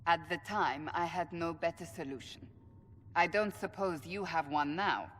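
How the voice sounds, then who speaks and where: A woman's voice: fairly low pitch, normal speed, fairly bright tone, big-room sound, a little hiss or hum, natural voice. An actress playing a stern, pragmatic warrior woman in a video game, with a blunt, formal manner. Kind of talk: video game cutscene acting.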